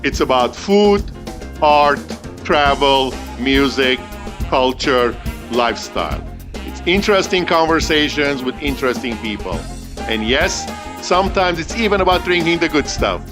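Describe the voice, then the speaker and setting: A man hosts an interview podcast. He reads his intro smoothly, then stumbles and restarts while he asks his questions. Faint music plays behind him. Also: mid-range voice, animated, measured pace. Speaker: podcast host. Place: podcast studio.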